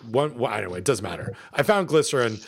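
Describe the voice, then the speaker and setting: Rambling, halting man on a podcast. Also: mid-range voice, animated, vocal fry, background noise. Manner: halting, many fillers and restarts. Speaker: podcast co-host. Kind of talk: casual podcast chat.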